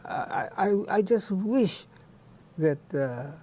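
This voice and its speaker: A man's voice: high voice, animated, slow pace, mellow. A man in his nineties from Hawaii, a retired professor talking casually as an interview guest, searching for words and repeating himself. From Hawaii, United States. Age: 90s.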